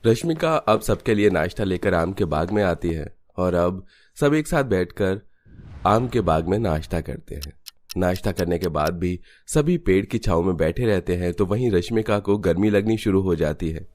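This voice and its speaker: Very deep-voiced male story narrator. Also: animated, normal speed, a little mellow, slight background noise. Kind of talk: scripted cartoon story narration.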